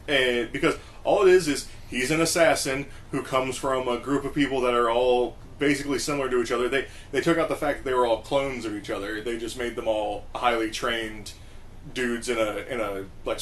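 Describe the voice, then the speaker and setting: Halting mid-pitched man in casual chat. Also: fairly even intonation, a bit bright. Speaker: podcast co-host. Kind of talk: casual chat about games and films. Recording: faint background noise.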